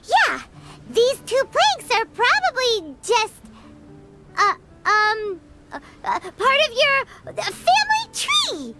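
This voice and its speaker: A woman voicing a tiny, childlike fairy companion in a video game, squeaky, cutesy, cheerful and earnest. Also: huge pitch swings, slow pace, exaggerated voice. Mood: awkward, reassuring. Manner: cutesy, refers to self in third person. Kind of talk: scripted video game character dialogue. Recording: loud game music behind.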